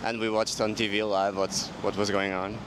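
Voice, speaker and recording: A man of twenty-five from Portugal with a Portuguese accent, speaking somewhat haltingly in a casual outdoor interview. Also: on the low side, flat delivery. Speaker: street interviewee. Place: city street outdoors. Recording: outdoor street with faint traffic noise.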